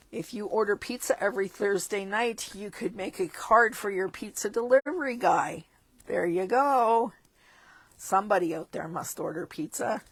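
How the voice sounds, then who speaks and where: A woman's voice: medium pitch, fairly bright tone, no echo, low-quality recording. A woman demonstrating stamping supplies in a casual walkthrough of a catalog, chatty with chuckling asides, and losing her voice. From Canada. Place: tabletop craft desk indoors.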